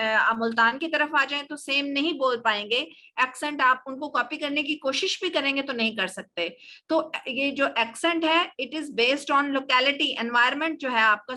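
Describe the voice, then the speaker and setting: A woman's voice: high voice, sharp tone. A woman with a Pakistani accent teaching a live online English class, answering questions in explanatory Urdu mixed with English. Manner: explanatory, code-switching between Urdu and English.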